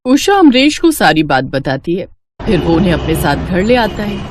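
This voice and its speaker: A woman's voice: on the low side, sing-song, normal speed, noisy background. Woman narrating a moral story.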